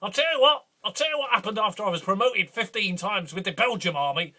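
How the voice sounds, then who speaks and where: A man playing a boastful, belligerent duke in a rambling, bombastic comic monologue, with a husky voice. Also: high voice, lively intonation, fast talker, pushed and bright, full character voice. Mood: blustering. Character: boastful blustering male Scottish duke, belligerent. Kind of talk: improvised comic character monologue.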